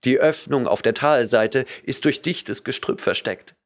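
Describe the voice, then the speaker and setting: Man doing a frightened young woman, high voice, whimpering, breathless, pleading, audiobook. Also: a little slow, lightly acted. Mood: fearful. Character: terrified young woman, a trembling false oracle.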